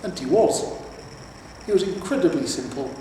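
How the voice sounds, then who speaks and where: A man narrates a story in a measured, pausing delivery. His voice is on the higher side, heard from a distance in a very reverberant room with faint noise. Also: lively intonation. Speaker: amateur audiobook reader. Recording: roomy, echoing, distant mic with noise.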